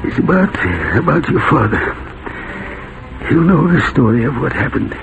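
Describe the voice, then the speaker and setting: An actor playing a guilt-ridden older banker in a 1940s radio drama, halting and pleading, with a gravelly voice. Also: male voice, a little high, lively intonation, over music. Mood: guilty, anguished. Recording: muffled, noisy 1940s radio recording.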